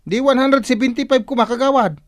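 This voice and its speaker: An actor playing an excitable village customer in a comedic radio drama, with a Northern Philippine accent and an animated, protesting manner. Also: sits high, a little fast, natural voice. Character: excitable village customer who checks every sum.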